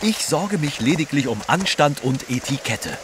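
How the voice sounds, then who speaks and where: An actor playing a stuffy, proper British reporter in an audio drama, speaking in a fussy, pompous way. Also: male voice, a little high, animated, normal speed, lightly acted. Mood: stuffy, indignant. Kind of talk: German radio play acting. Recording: noisy outdoor rain backdrop.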